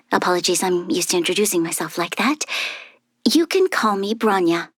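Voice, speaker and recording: A woman voicing a young noble military commander in a video game, formal, dutiful and reflective, speaking in an earnest, measured way with some soft breathiness. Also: on the low side, animated, dry recording, clean studio sound. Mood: formal, courteous. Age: young adult. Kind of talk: scripted video game character voice lines.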